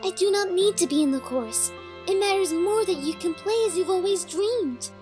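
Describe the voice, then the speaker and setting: A woman playing a shy, selfless young pony daughter in an audio drama, soft-spoken and timid. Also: extremely high pitch, animated, normal speed, over music. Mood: tender, reassuring.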